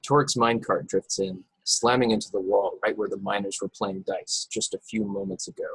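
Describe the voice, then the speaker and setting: Man, low husky voice, scripted narration, table read, dry close sound. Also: brisk, no echo, poor audio. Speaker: narrator reading stage directions at a table read. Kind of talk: scripted narration with occasional stumbles. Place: remote video call.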